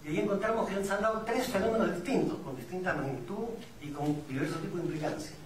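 A man's voice: sits high, quick pace, background noise. A middle-aged man with a Peruvian accent speaking at a public forum, reflective and didactic, posing rhetorical questions. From Peru. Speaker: migrant community representative speaking on a panel. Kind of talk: public talk at a discussion forum. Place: indoor event room at a public forum. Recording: echoey room, distant mic, audience sounds.

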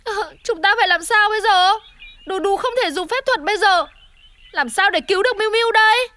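Very high-pitched eager little panda boy. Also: fairly quick, bright, forceful tone. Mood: worried. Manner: exclamatory, earnest. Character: cute young boy panda, eager, earnest little hero. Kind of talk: children's cartoon dubbing.

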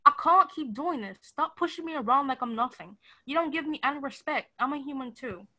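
A woman's voice: high-pitched, lively intonation, fairly quick, sharp tone. A woman playing a put-upon maid in a mystery radio play, polite and earnest but with some defiance. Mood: defiant. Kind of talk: stage-style mystery radio play acting.

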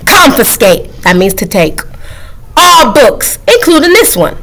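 A woman voicing a frantic, pleading male monster from a picture book, shrill and desperate. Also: big pitch swings, measured pace, a bit bright, heavily acted. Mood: threatening.

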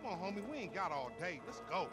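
A man's voice: high-pitched, sing-song, quick pace, loud music behind the voice. A man playing a pushy, teasing young street hustler in a video game.

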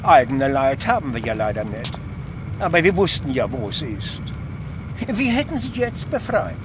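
Actor as animated Viennese man, noisy street. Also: medium pitch, moderate pace, close to an everyday voice. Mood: earnest, explaining. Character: brisk, dutiful Viennese male helper in an emergency. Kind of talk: German audio drama acting.

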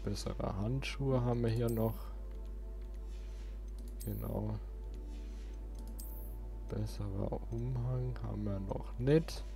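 A man's voice: lowish voice, steady tone, slow, gravelly, pauses often, dark tone. A man giving casual gameplay commentary as a let's play host, rambling and thinking aloud. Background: game music.

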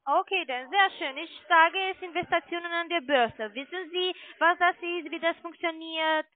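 A woman with a foreign accent, not a native speaker, making a halting, insistent sales call over the phone. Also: very high-pitched. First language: not German. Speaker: call centre caller selling investments. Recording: tinny phone line.

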